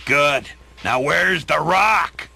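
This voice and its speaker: An actor playing a grandiose, scheming reptilian dictator in a radio play, theatrical and commanding. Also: male voice, on the high side, animated, very slow, vocal fry, pushed and bright, heavily acted. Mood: angry, commanding. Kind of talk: audio drama acting.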